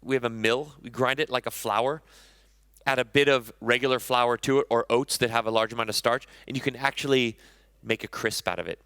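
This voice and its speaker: A man's voice: medium pitch, fairly even intonation, brisk, sharp tone, clear, resonant tone, dry recording. A man in his thirties, a chef giving a conversational conference talk on stage, often correcting himself mid-sentence. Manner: conversational, self-correcting, enthusiastic about food.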